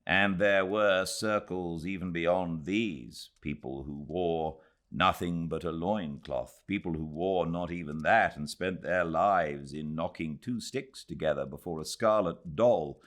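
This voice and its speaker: A British man narrating a classic novel in a measured, expressive storytelling style. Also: low voice. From Britain. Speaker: audiobook narrator. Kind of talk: scripted literary narration, storytelling.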